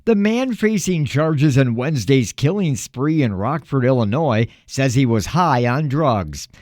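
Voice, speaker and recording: A man's voice: mid-range voice, lively intonation, a little slow, a little mellow, no room sound, clean studio sound. An American man reading scripted radio news as a reporter and anchor.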